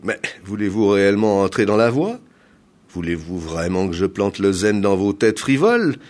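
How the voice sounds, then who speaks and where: A man playing a stern Zen master in a tale, questioning solemnly and forcefully. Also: lowish voice, expressive, normal speed, lightly acted. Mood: stern, challenging.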